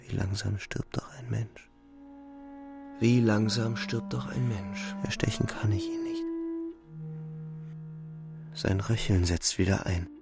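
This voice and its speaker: An actor playing an anguished young soldier in a radio play, delivering a grave, halting, intense first-person monologue. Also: male voice, on the low side, fairly even intonation, vocal fry. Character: anguished young male soldier recounting a killing in a shell hole. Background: music.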